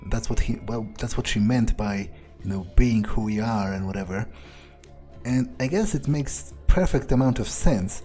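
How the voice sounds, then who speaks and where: A man's voice: lowish voice, normal speed, dark tone, faint music behind. A man roleplaying his character in a game playthrough, thinking aloud in a laconic, offhand, musing way.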